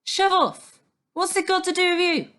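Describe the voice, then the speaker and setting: A woman's voice: very high-pitched, moderate pace, a bit bright, voice pushed up. A woman voicing a sad, defiant young girl in a storybook, snapping bitterly in childish grammar. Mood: angry.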